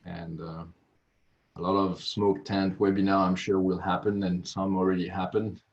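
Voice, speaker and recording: Deep-voiced man hosting a video webinar. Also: level tone. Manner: halting, many filler pauses. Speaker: webinar host. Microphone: video call. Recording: muffled video call audio.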